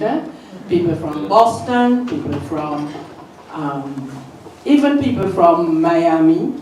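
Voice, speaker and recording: An older woman giving an unscripted response at a public lecture, measured and encouraging. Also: lowish voice, darkish tone, slight background noise, rough recording.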